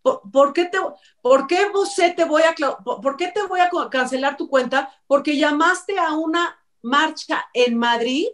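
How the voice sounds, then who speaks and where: A woman's voice: sits high, unhurried, few pauses. A middle-aged woman with a Mexican accent arguing her opinions emphatically as a talk co-host on a video call. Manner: emphatic, insistent, argumentative. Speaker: co-host commentator. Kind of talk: opinionated discussion.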